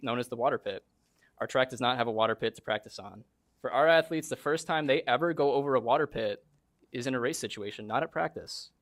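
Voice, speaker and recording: A track coach speaks at a school board meeting. He reads an earnest prepared statement in an ordinary mid-range man's voice. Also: mid-range voice. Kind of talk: prepared public comment.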